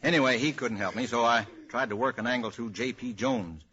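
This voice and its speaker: A man's voice: medium pitch, brisk, bright, forceful tone, lightly acted. A man with an American accent playing a fretful, scheming character in 1940s radio comedy. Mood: worried, exasperated. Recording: muffled, noisy old radio recording.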